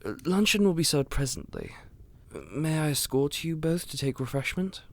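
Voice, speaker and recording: A man playing a courteous, attentive royal aide in an audio roleplay, with a gentle, formal manner. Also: on the high side, moderate pace, lightly acted. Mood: concerned, courteous. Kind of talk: scripted audio roleplay acting.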